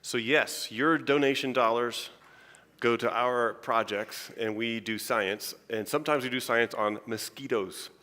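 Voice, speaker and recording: A man of ordinary pitch gives a relaxed, humorous science talk with no particular accent, often stumbling and restarting mid-sentence. His voice rings out in a large church hall with a long reverb trailing behind it. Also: a little slow. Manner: chatty and joking, with frequent restarts. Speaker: scientist giving a conference talk. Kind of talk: informal conference lecture.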